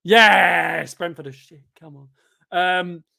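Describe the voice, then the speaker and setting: A twenty-year-old man from Devon with a Devon accent, chatting casually and rambling on a football watchalong stream, with sudden loud outbursts at chances. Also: sits high, steady tone, takes it slowly, vocal fry. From England. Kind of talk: casual live-stream chat reacting to a match and reading chat.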